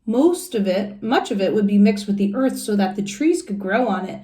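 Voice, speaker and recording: A woman's voice: medium pitch, animated, normal speed, mellow. Woman reading to children in an echoey room. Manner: explains simply and asks listeners questions. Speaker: teacher reading a picture book aloud. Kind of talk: expressive children's storybook read-aloud with chatty asides to young listeners.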